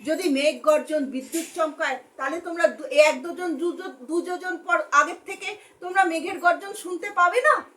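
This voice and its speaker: A woman's voice: extremely high pitch, normal speed, fairly bright tone, voice pushed up. A woman voicing a wise, stern merchant leader who firmly admonishes followers in a storybook tale. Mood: patient reasoning. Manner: firm, admonishing, questioning.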